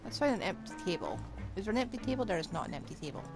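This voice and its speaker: Wry woman streamer with lively pitch. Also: racing. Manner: wry, joking asides. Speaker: game streamer. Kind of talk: casual live gaming commentary. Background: game music.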